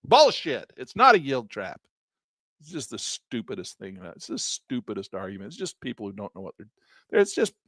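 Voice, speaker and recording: Older male educator, lively and exasperated, dry close recording. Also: no echo. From Southern Utah. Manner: animated and exasperated, mocks critics in a high squeaky voice, drops low to grumble. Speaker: trading educator. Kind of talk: live-stream trading lesson, off-the-cuff rant.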